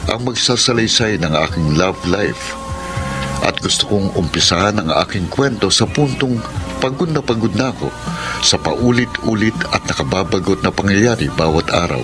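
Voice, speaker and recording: A man playing a weary, earnest young boyfriend in a Filipino radio drama, defensive when pushed, with a Filipino accent. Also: low-pitched. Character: weary, exasperated young boyfriend telling his love story. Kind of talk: Tagalog radio drama acting, letter narration. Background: background music.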